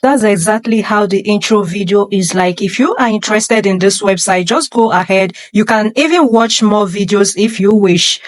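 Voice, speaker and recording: A woman from Nigeria guides the listener step by step through an app, with the patient, instructive delivery of a coach. Her voice sits at a middle pitch. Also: normal speed, hardly any pauses. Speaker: online teaching coach. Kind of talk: screen-recorded tutorial.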